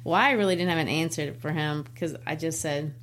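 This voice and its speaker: A woman's voice: low-pitched, brisk, fairly bright tone, slight background noise. A woman who teaches and co-hosts a book podcast, talking in a chatty way and laughing easily. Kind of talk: casual book discussion.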